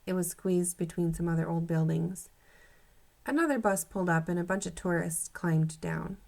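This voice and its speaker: A woman teacher reading a children's story aloud to young pupils in an animated, expressive way. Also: fairly low pitch, gravelly. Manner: expressive, acts out dialogue, pauses to comment to pupils.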